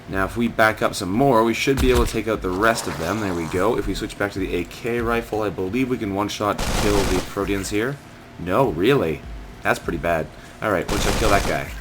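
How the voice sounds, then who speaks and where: Hoarse, low-voiced man narrating gameplay. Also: noisy background. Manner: steady, methodical play-by-play. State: hoarse, scratchy voice. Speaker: gaming channel host. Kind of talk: let's play commentary. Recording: game gunfire and ambience behind the voice.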